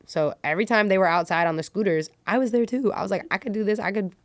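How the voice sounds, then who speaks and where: A woman's voice: mid-range voice, fast, dry recording. A woman podcast guest telling personal stories casually, in a slangy way with quoted bits of dialogue.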